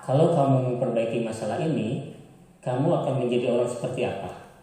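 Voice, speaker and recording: A man plays a calm teacher who keeps asking gentle, guiding questions. His voice is mid-pitched. It bounces around a large, roomy hall. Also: fairly quick, natural voice. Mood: coaxing. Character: adult man playing a calm, patient male teacher. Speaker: role-play teacher. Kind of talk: scripted teacher training role-play.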